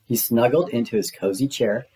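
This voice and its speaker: A man's voice: medium pitch, moderate pace, dark tone. A man reading a children's storybook aloud as its narrator.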